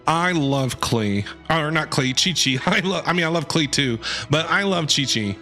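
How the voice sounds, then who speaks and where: An excitable male streamer reacting to a video game. His voice is bright, fairly high and very animated, and he chats casually, repeating himself, with game music faintly behind. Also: normal speed. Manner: excitable, chatty, repeats phrases. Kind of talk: casual live gameplay reaction commentary.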